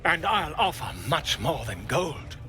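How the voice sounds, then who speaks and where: A theatrical, self-important male bard for a fantasy video game. His delivery is very bright and forceful, sitting high and swinging widely in pitch. He is grandiloquent and effusive, savouring his florid phrases. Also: very animated, a little slow, soft background music, exaggerated voice. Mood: coaxing, grandiose. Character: flamboyant, theatrical, pompous male bard and self-styled scholar.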